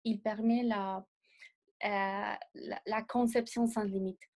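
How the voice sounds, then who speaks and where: A young woman, not a native speaker of the language, answering interview questions as a designer in a measured way and often pausing to find words. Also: mid-range voice, flat delivery, darkish tone. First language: not French. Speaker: designer guest.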